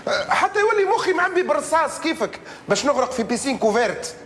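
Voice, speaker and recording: A man's voice: extremely high pitch, big pitch swings, rapid-fire, a bit bright, a little hiss or hum, heavily acted. A man voicing a self-satisfied doctor and boss in a Tunisian cartoon comedy, pompous and condescending, sprinkling in French words. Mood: teasing, condescending. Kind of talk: animated sitcom dialogue.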